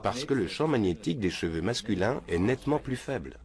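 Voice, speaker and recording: A man's voice: on the low side, animated, brisk, darkish tone. A man answering interview questions in a matter-of-fact, assured way.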